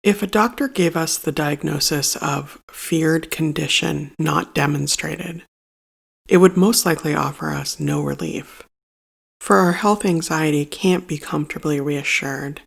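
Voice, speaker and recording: A meditation guide reading a scripted narration in a measured, solemn and instructive manner. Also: medium pitch, creaky, slightly rough.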